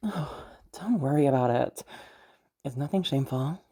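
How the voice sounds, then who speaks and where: A woman acting as a playful, caring party host in an intimate audio roleplay, soft-voiced and hesitant, rambling as she gently reassures the listener. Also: a bass voice, normal speed, slightly rough. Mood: warm, comforting.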